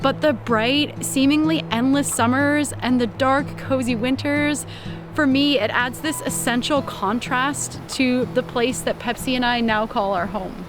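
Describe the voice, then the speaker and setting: Calm woman narrating over music. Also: sits high, a little slow. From Canada. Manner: calm, heartfelt, with small laughs. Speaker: vlogger.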